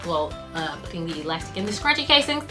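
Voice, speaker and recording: A woman's voice: on the low side, sing-song, quick pace, over music. A woman hosting her own small-business vlog in a casual, chatty way, reading tips from cue cards while she works, her voice fading. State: losing her voice. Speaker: scrunchie business owner and channel host.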